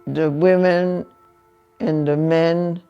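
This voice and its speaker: Gravelly old lady in her 90s, talks really slow and measured, dull lo-fi sound with faint music behind. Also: fairly low pitch, steady tone. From Hungary. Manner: slow, measured, with long pauses. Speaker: Holocaust survivor giving testimony. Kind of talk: reflective spoken testimony.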